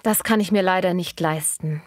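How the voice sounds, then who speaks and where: A woman's voice: lowish voice, normal speed, no room sound, studio quality, lightly acted. A woman playing a polite, hard-up traveller in a scripted language-lesson dialogue. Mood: polite, worried. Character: polite, hard-up female traveller seeking a room.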